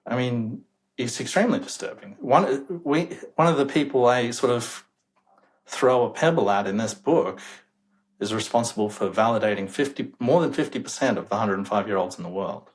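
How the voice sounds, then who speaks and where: A man's voice: mid-range voice, moderate pace, poor audio. A young man, a demographer guest in an interview, talking emphatically and sounding incredulous about the data, chuckling now and then. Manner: emphatic, incredulous, chuckles while making points. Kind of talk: casual interview talk.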